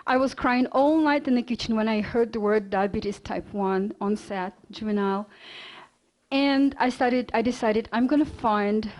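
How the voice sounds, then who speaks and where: A woman's voice: fairly high pitch, a little mellow, lo-fi sound. Russian-accented woman telling her story. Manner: earnest, personal, quick bursts with fillers and restarts. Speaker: lecturer. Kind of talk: storytelling lecture.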